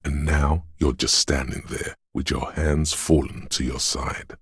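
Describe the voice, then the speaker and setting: A man voicing a brooding, omniscient inner voice of a city in a video game, narrating gravely and poetically in a measured, husky, gravelly voice. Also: extremely low pitch, level tone, no echo, exaggerated voice. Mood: brooding, solemn. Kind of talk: slow literary second-person game narration.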